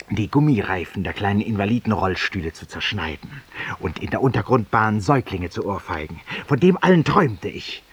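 An actor playing a cynical, self-accusing former lawyer in a 1950s German radio drama monologue, theatrical and ironic, husky, confiding in a listener. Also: male voice, fairly low pitch. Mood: defiant, scornful. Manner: theatrical, ironic, confiding, dropping to near whispers. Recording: muffled, roomy 1950s radio recording.

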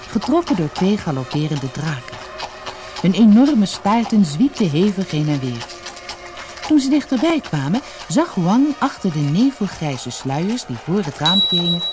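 A storyteller reading a scripted fairy tale in an expressive, dramatic manner. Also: mid-range voice.

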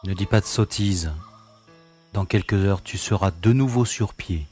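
A man's voice: on the low side, a little slow, darkish tone, breathy edge, soft background music, voice pushed down. A man voicing a seasoned, teasing male pilot with dry, mocking banter in an audiobook reading. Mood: reassuring.

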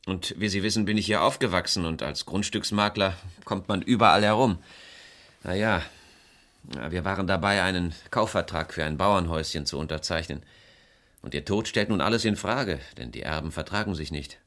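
An actor playing a worried middle-aged estate agent and estranged husband in a radio crime play, talking in a confiding, hesitant way. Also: male voice, deep voice, moderate pace, fairly bright tone. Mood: resigned.

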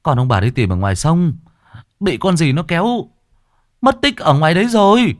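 A panicked villager, as a male storyteller puts him on, gasps out bad news in a high, rising, urgent voice. He speaks far above the narrator's own pitch, in a dry, close recording. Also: very animated, normal speed, no echo. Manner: breathless, urgent. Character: breathless village messenger, panicked young man.

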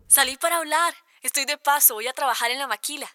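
Worried young friend in radio drama, high voice, pushy but caring. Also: female voice, fast, pushed and bright, no room sound, studio quality. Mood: hushed eager. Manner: urgent, concerned. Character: caring, insistent young female friend of captive girl.

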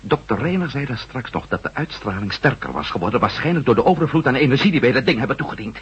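A shrewd ex-astronaut reporter in an old radio play, pressing hard with a lively, rising and falling delivery. The voice is husky and the recording is dull and lo-fi. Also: male voice, mid-range voice, quick pace. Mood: urgent. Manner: probing, insistent. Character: Matt Meldon, shrewd former astronaut reporter. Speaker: lead character. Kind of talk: 1970s radio drama acting.

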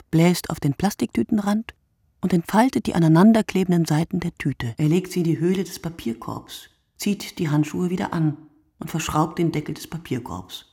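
An actress playing a weary, observant woman in a radio play monologue, speaking in measured, short phrases with a husky, breathy voice that often drops to near whisper. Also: on the low side, dark tone, no echo, studio quality. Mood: precise, observant. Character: weary, observant woman watching early-morning street from her window. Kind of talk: literary radio play monologue, scripted narration.

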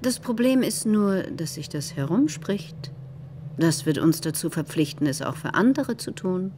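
Very animated, haughty bourgeois wife. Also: female voice, lowish voice, unhurried, slight background noise. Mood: skeptical, reluctant. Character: wealthy bourgeois wife, skeptical, haughty, calculating. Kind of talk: German radio play dialogue.

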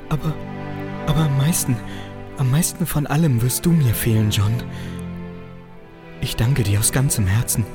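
A woman playing a devoted, sentimental office typist in a comedic radio play, tender and melodramatic. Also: low voice. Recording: music behind the voice.

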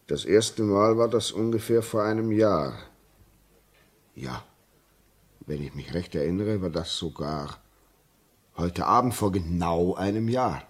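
Low, husky, haunted railway signalman. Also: expressive, measured pace, long pauses. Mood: haunted, grave. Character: haunted, self-taught railway signalman, brooding and dutiful. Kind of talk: 1950s German radio drama acting. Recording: muffled-edged 1950s radio drama recording.

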